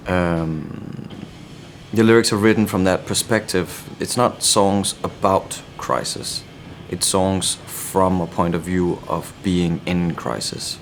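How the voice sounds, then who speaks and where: A deep-voiced Danish man with a Danish accent talks thoughtfully about his band's work in an interview. His speech is measured and reflective, and he often stops to look for the right word. There is a faint hiss behind him. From Denmark. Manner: reflective, searching for words. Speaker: band member. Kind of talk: interview answer.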